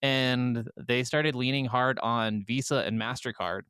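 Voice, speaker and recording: A man's voice: mid-range voice, fairly even intonation, measured pace, a bit bright, smooth, dry recording, studio quality. A man co-hosting a podcast in casual, informal conversation, airing his opinions with some swearing. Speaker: podcast co-host.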